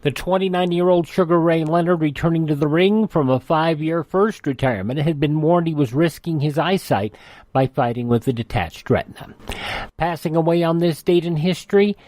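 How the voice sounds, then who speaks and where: A man's voice: on the high side, moderate pace, a little mellow, no echo. A man hosting a radio show, reading scripted on-this-day history items.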